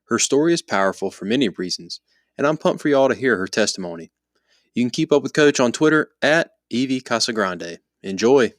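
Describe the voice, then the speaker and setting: A man's voice: medium pitch, expressive, brisk. A man, the podcast's host, reading an upbeat scripted intro.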